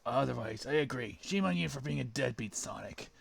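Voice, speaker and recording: A man voicing an edgy, brooding male hedgehog in a low, muttering deadpan. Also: a little fast, creaky, crowd noise.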